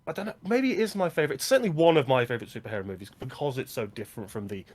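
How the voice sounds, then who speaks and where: A man's voice: mid-range voice, animated, racing, a little mellow. Enthusiastic man recommending films. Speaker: film podcast co-host. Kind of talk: casual film discussion.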